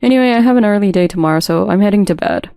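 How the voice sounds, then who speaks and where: A self-centred husband from an audio story, played by a woman, slippery and dismissive. The tone is dark and soft-edged at a middling female pitch, recorded dry. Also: very fast, clear, resonant tone, no echo, faint music behind, natural voice. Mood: offhand, evasive. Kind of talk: scripted audio story dialogue.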